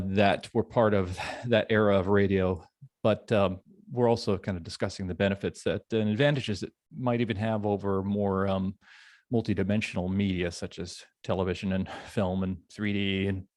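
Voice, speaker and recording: A man hosting a casual panel conversation, speaking in a halting, thoughtful way. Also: lowish voice, a little mellow, no echo, clean studio sound. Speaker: podcast host.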